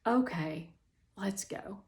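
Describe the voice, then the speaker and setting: A woman's voice: medium pitch, expressive, takes it slowly. A woman playing a self-conscious, wounded schoolgirl in a children's story, hesitant and plain-spoken. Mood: resigned. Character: self-conscious, hurt schoolgirl speaking through a talking device.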